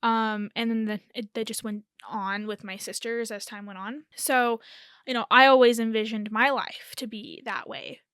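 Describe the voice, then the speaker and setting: A young woman in her early twenties speaks in a slightly high, hoarse and raspy voice, still getting over a cold. She rambles and corrects herself as she chats alone on her podcast. The sound is dry and close. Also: fairly even intonation, normal speed, a bit bright, no room sound. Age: early 20s. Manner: rambling, self-correcting, laughs nervously. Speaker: podcast host. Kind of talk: casual solo chat.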